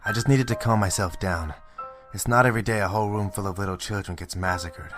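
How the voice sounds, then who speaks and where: An actor playing a hot-tempered, frustrated police detective in an amateur radio drama. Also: male voice, fairly low pitch, fast talker, music in the background, lightly acted. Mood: weary, serious. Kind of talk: amateur audio drama acting.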